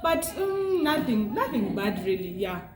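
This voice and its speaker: A young Ugandan woman with a Ugandan English accent, a guest chatting casually and thoughtfully, pausing with fillers. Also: medium pitch, big pitch swings, reverberant, over music. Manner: thoughtful, hesitant with many fillers, laughs often. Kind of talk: casual interview chat.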